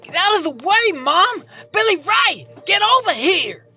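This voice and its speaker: A woman's voice: very high-pitched, sing-song, measured pace, bright, forceful tone, faint music behind, heavily acted. A woman voicing a brash, defiant carnival youngster in an audio horror drama, full of slangy talk.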